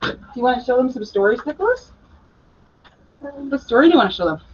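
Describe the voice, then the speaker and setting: A woman's voice: high-pitched, big pitch swings, quick pace, darkish tone, breathy edge. A woman presenting casually on a video call, chatty and encouraging, like a teacher talking to a group. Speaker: special education teacher presenting. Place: indoor school room, on a video call. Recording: dull webcam video-call audio.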